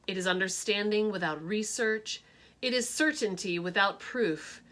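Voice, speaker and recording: A stern, no-nonsense schoolteacher declaims in a firm, measured storybook voice, as a woman reads her part. The tone is bright and the delivery slow and deliberate, each phrase landing with weight. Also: medium pitch, lightly acted. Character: brusque, no-nonsense stout woman teacher.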